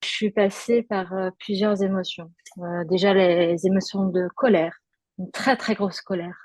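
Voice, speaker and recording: A woman's voice: medium pitch, steady tone, a little slow, darkish tone, no echo. A woman guest giving a personal testimony in conversation, hesitant, with many fillers and restarts.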